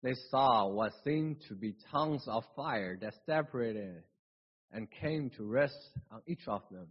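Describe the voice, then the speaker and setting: A man with a Chinese accent, whose first language is Chinese, reading scripture and preaching a sermon in a halting way, with restarts and slips. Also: mid-range voice, lively intonation, breathy edge. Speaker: pastor. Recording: dull lo-fi recording.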